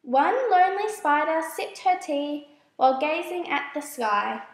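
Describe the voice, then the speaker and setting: Woman, storybook narrator, very high pitch, slow measured pace, expressive scripted verse reading, roomy recording. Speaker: storybook reader.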